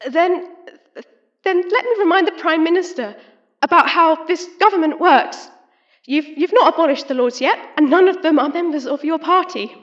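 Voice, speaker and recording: A woman playing an earnest, combative opposition politician in an audio drama, formal and indignant with a halting delivery. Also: very high voice, fairly bright tone, highs cut off.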